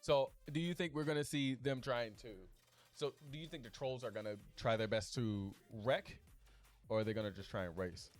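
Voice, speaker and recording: A man's voice: medium pitch, normal speed. Easygoing male esports caster over faint music. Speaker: esports commentator. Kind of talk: casual esports commentary chat. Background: background music.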